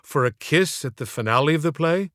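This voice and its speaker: A man reads a comic audiobook in a fairly high-set voice. His delivery is theatrical and wry, with mock-formal phrasing. The sound is very dry, free of room reflections. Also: expressive, fairly quick, fairly bright tone, no echo. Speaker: audiobook narrator and author. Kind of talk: comic scripted storytelling narration.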